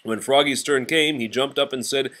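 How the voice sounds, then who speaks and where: A man reading a children's storybook aloud in an animated, expressive style. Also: mid-range voice, normal speed. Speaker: story reader.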